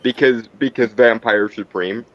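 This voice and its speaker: A man's voice: medium pitch, fairly even intonation, a little slow, lo-fi sound. A man playing a tabletop roleplay game, talking casually in a wordy, argumentative way. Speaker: roleplay player.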